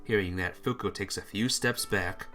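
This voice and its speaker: A woman's voice: rumbling low, moderate pace, fairly bright tone, soft background music. A woman reading visual novel narration aloud as she plays through the game. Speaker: visual novel player and reader.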